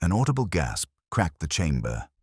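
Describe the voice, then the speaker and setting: A man giving a measured, literary scripted narration of a detective story. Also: low voice, big pitch swings, slightly rough, no room sound, studio quality.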